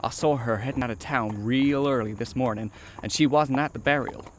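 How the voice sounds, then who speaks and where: A man's voice: mid-range voice, brisk, slight background noise, natural voice. An actor playing an eager, polite young frontier man in an audio drama, hesitant yet determined. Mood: insistent. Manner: polite, hesitant but insistent. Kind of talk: western audio drama acting.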